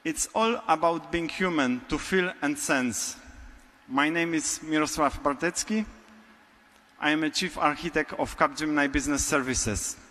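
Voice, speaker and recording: A man with a Polish accent delivers a prepared keynote in a large hall. His voice sits a little high and bright, and he speaks very slowly, pausing between short phrases. A long reverb from the room trails after each phrase. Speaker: conference speaker.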